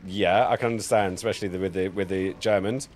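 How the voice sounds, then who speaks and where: A man's voice: deep voice, steady tone, fast, a little mellow. A man in his forties chatting casually and jokily, with plenty of swearing, as he plays a game on a live stream at a close mic. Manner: sweary, jokey, rambling. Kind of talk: casual live-stream gameplay commentary and chat. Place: indoor home streaming setup. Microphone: close streaming mic on a boom arm.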